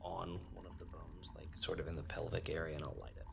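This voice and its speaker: A man's voice: very deep voice, level tone, fast talker, gravelly, slight background noise. A man talking casually as a player in a tabletop role-playing game.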